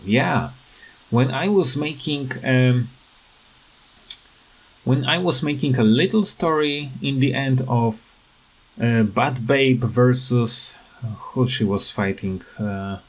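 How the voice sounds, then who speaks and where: Slow, halting man ranting casually. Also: medium pitch, lively intonation, slightly rough, poor audio. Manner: halting, hesitant non-native phrasing. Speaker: gaming video creator. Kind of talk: casual rant over game footage.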